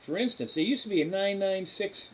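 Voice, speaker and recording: An American man hosting a casual explanatory livestream, talking in a halting, rambling, folksy way. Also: high-pitched. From New England, US. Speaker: livestream host, radio scanner hobbyist. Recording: hissy, clearly noisy background.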